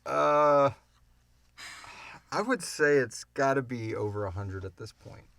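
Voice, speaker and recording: A man's voice: medium pitch, lively intonation, takes it slowly, vocal fry, fairly bright tone, no room sound. A man hosting a casual unscripted podcast Q and A, talking informally and swearing freely. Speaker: podcast host and producer.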